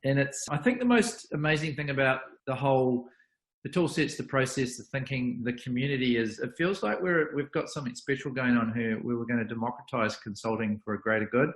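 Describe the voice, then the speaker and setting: A man's voice: medium pitch, brisk, reverberant, low-quality recording. A New Zealand man in his fifties, chatting over a video call, self-deprecating and full of restarts. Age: 50s. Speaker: guest, consultant. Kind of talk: casual video call chat.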